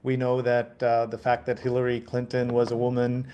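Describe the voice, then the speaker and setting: A man hosting a live interview show in a conversational style, speaking in a halting, measured way. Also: medium pitch, monotone, warm tone, clear, resonant tone, lo-fi sound. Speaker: host of a live readalong show.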